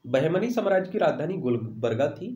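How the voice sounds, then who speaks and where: A man's voice: on the high side, big pitch swings, brisk, mellow, muffled recording. A man teaching exam preparation, explaining in an instructive way and repeating key points. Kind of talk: explanatory quiz lesson with study advice. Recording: roomy, echoing voice-over.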